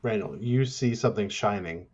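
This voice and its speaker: A man's voice: lowish voice, normal speed, darkish tone, slightly rough, highs cut off. A man narrating improvised moments in a casual tabletop roleplaying game.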